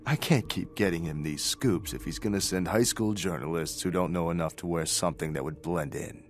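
An actor playing an irritable, wisecracking crooked port officer in a video game, sarcastic, slangy and impatient. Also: male voice, deep voice, fairly quick, natural voice. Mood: exasperated, mocking. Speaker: game character, informant officer. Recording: music playing behind.

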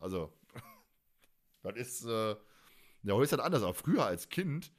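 Male podcast host, forties, medium pitch, animated and colloquial, loose rambling chat. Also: a little slow. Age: 40s. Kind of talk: casual chat.

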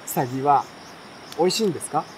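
A polite young boy from a storybook , read by a man, asks things with earnest curiosity. The voice sits a little high, with faint night insects and fire behind it. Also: expressive, a little fast, close to an everyday voice, rough recording. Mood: wondering. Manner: questioning, earnest. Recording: outdoor night crickets and campfire noise.

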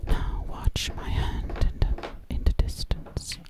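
A woman's voice: slow pace, close to an everyday voice. A woman playing a sly, boastful witch in an ASMR roleplay, speaking breathily and close up, repeating words hypnotically. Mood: hypnotic, soothing. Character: sly, boastful female witch who takes people as coats. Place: small indoor room at a table. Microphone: close to the mic.

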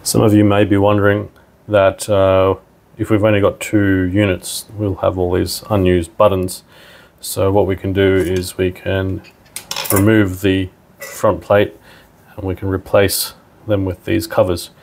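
A man's voice: deep voice, level tone, measured pace, creaky, darkish tone. A man narrating a hands-on product tutorial in an informal, step-by-step way. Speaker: product demonstrator.

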